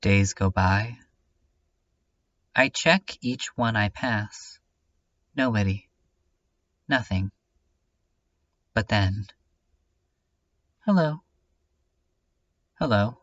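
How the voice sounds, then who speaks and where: A man playing a lonely, anguished narrator in a horror fanfiction drama, speaking in a brooding, halting monologue. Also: fairly low pitch, no echo. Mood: subdued, haunted. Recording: muffled audio under loud music.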